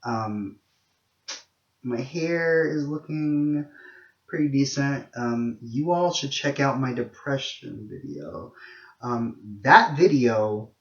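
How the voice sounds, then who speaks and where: A young man with a low voice talks straight to his viewers, candid and rambling, full of ums and false starts. The sound is a little muffled and lo-fi. His voice carries the echo of a bare room. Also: animated, takes it slowly. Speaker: vlogger. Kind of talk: casual monologue to camera.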